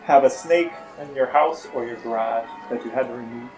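A man's voice: mid-range voice, fairly quick, darkish tone, faint music behind. A man co-hosting a podcast, chatting casually and asking questions. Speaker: podcast co-host. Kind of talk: casual chat and interview questions.

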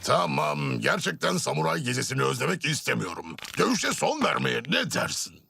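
A man's voice: fairly high pitch, sing-song, normal speed, gravelly, heavy background noise, heavily acted. A man voicing a cocky young hero in alien creature form in a cartoon, brash and boastful. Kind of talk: dubbed cartoon hero acting.

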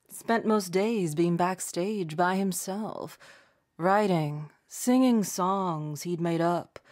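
A woman's voice: lowish voice, a little mellow. A woman playing a fond, salty old queen of country music, reminiscing in a folksy, sassy drawl. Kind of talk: documentary interview acting.

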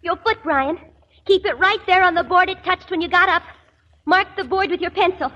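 A woman's voice: extremely high pitch, moderate pace, pushed and bright. An actress playing a determined, insistent young woman in a 1970s radio drama, firm and coaxing in manner. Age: young adult. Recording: dull old radio recording.

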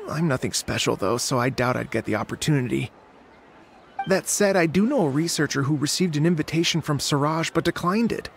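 A humble young student offers help in a slightly high, gravelly voice. He speaks politely and plays himself down. Faint ambient noise sits behind him. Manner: polite, self-deprecating. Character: modest young male student, helpful.

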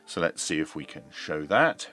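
Deep, bright-voiced man demonstrating equipment. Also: big pitch swings, moderate pace, soft background music. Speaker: radio reviewer. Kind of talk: hands-on demonstration commentary.

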